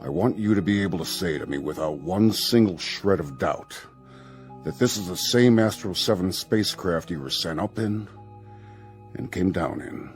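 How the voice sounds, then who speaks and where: Deep-voiced, brusque general. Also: male voice, expressive, normal speed. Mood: stern, commanding. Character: brusque, skeptical, commanding male general. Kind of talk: radio drama acting. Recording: music bed underneath.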